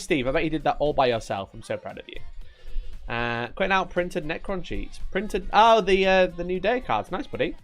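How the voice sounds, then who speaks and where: A man with a Yorkshire accent, casually chatting at his desk on a live stream, reading viewers' messages, joking, teasing and laughing often. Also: fairly high pitch. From Northern England.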